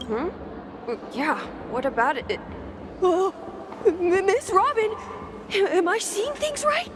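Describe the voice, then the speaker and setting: A woman's voice: very high-pitched, animated, slow pace, noisy background, heavily acted. A woman playing a starstruck, eager young fan in a game cutscene, flustered and stammering.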